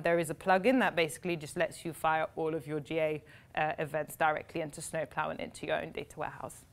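A young woman answers questions at a tech meetup in a slightly low voice. She explains fluently and briskly, dropping in casual fillers as she goes. She is speaking live in a meeting room with an audience.

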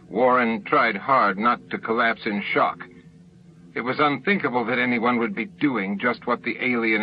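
A man's voice: medium pitch, dull audio. A man reading a novel as an audiobook narrator, in dramatic scripted narration. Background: faint music.

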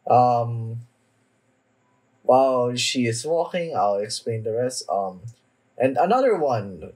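A young man hosts a casual reaction video, speaking at a markedly slow pace. His delivery is halting and hesitant, with words often repeated as he goes. The pitch sits in an average male range. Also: darkish tone, breathy edge, poor audio. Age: young adult. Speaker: anime reaction video host. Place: small room at home, indoors.

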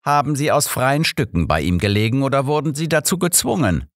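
Audiobook detective, old man acted by a male reader: blunt, cool, commanding, raised pitch. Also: expressive, a little fast, dry recording, studio quality, natural voice. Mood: blunt, probing. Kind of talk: audiobook character dialogue.

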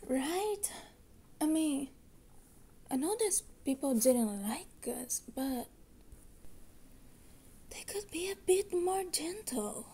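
A woman playing a hesitant, wry resurrected witch in an ASMR roleplay, with a soft, breathy voice. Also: high voice, sing-song, takes it slowly, pauses often, heavily acted.

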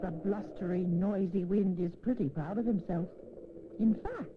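A woman's voice: medium pitch, measured pace, phone-quality sound. Woman storyteller on noisy old film. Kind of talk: scripted dramatic fable narration for children. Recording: noisy old 16mm educational film soundtrack.

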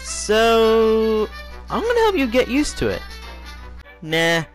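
A man's voice: sits high, huge pitch swings, slow pace, faint music behind, pitched above the performer's own voice, heavily acted. A man voicing a cocky, teasingly threatening cartoon mug boy. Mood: smug menace.

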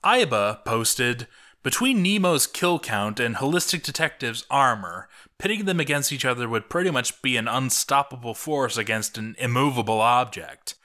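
Man, scripted narration, bright forceful voice, mid pitch, theatrical emphasis, deliberate read-aloud. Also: expressive. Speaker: narrator reading a written game chronicle aloud.

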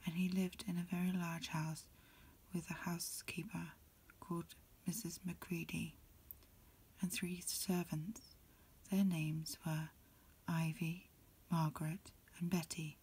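A woman reading a storybook in a breathy ASMR whisper, softly and unhurriedly, phrase by phrase. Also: lowish voice, level tone, unhurried. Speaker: amateur reader. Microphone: lo-fi home recording.

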